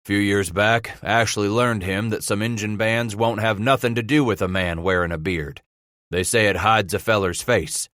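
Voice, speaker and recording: A man reading aloud, voicing a steady, plain-spoken male brigade leader with a frontier drawl. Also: fairly low pitch, moderate pace, no room sound, studio quality, close to an everyday voice.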